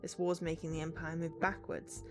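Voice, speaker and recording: A woman's voice: low voice, completely flat delivery, moderate pace, music in the background, close to an everyday voice. A woman voicing a guarded, businesslike female investigator in a visual novel, questioning and matter-of-fact. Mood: serious, matter-of-fact.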